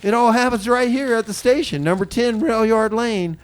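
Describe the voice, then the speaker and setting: A man's voice: extremely high pitch, moderate pace, clean tone. A man hosting an informal radio comedy show, speaking in a hesitant, conversational way and often repeating his phrases. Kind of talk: improvised comedic radio chat.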